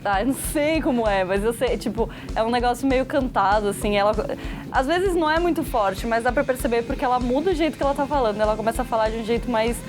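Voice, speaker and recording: Fast-talking young woman. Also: on the high side. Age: 20s. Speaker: interviewee. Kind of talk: casual interview answers. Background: music.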